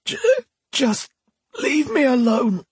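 A man voicing a wounded, delirious young man, raspy and out of breath with pain. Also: extremely high pitch, takes it slowly, no echo, pitched above the performer's own voice, heavily acted. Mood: anguished. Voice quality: raspy, wheezing, strained.

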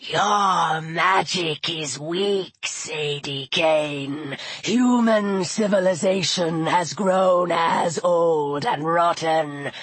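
An ancient male serpent of chaos from a storybook, acted by a woman. The voice drops low and husky and stretches each word very slowly. It is menacing and mocking, like a villain savouring a threat. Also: no room sound, exaggerated voice. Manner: slow, drawn-out, taunting menace. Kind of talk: audiobook character voice.

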